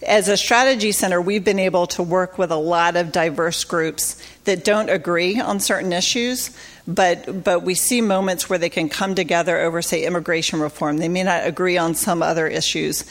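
A woman's voice: on the low side, fairly even intonation, normal speed. A woman organizer giving a conversational conference talk about faith-based advocacy, with many fillers and restarts.

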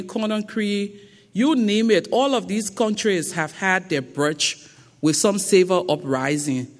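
A woman with a thick Liberian accent giving a public lecture in a slow, deliberate and emphatic way. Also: lowish voice. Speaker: guest speaker. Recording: long reverb of a lecture hall.

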